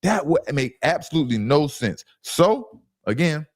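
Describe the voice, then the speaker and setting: Animated, insistent man talking sports. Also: a little high, a little slow, no room sound, clean studio sound. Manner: emphatic, insistent, repeats phrases for stress. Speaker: sports commentator and show host. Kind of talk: opinionated sports reaction talk.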